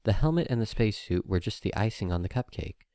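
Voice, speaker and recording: A man's voice: fairly low pitch, animated, fast talker, dark tone, dry recording, studio quality. A man reading a story aloud as an audiobook narrator, in scripted storytelling style.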